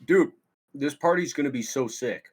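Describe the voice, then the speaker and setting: Young male stoner slacker, acted by a man, comedy film read. Animated, rough-edged voice, scheming and casual. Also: medium pitch, moderate pace. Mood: eager, scheming. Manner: casual slangy excitable delivery.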